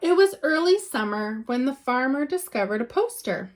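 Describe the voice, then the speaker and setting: Woman, higher-pitched voice, expressive storybook read-aloud, dramatic emphasis, drawn-out key words, teacher reading to children.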